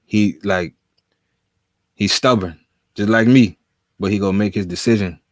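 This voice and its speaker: A man with a lowish voice hosts a podcast, talking loosely in street slang and telling a story as he goes. His voice is dry and close to the mic. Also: normal speed, no room sound. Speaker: podcast host. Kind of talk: casual podcast interview.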